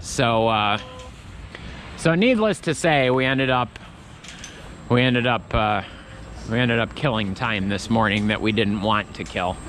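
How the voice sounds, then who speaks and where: A man's voice: fairly low pitch, a little slow, pauses often, a bit bright, a little hiss or hum. A man vlogging as he walks through an outdoor Christmas market, chatting in a rambling way with many restarts. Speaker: travel vlogger. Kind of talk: casual walking commentary.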